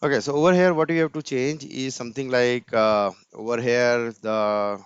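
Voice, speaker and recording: A man's voice: mid-range voice, steady tone, normal speed, clear, resonant tone, no echo. A man presenting an informal screen-share coding tutorial step by step, often tagging his sentences with "right?", on a dull computer mic.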